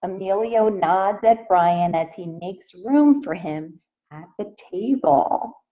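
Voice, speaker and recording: A woman reading a picture book aloud expressively to children in a teacherly way, pausing to put questions to them. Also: medium pitch, phone-quality sound. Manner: asks young listeners questions.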